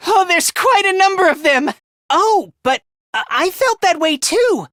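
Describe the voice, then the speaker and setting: A man's voice: very high voice, very animated, a little slow, sharp tone, no echo, studio quality, full character voice. A man voicing a timid, anxious, self-loathing young male student in an anime video game, with a thin voice and a stammering, whiny delivery. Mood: flustered.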